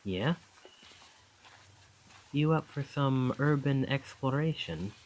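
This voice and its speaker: Charming young student with an otherworldly possessive streak, played by a male actor; mid-pitched, slow and coaxing, intimate ASMR roleplay delivery. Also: expressive, a little hiss or hum, low-quality recording. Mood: friendly, coaxing.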